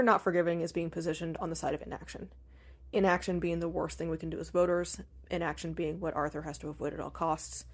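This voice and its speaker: A woman with a slightly low, gravelly voice full of vocal fry delivers prepared commentary. She sounds earnest and analytical, as a listener submitting her thoughts. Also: a little mellow.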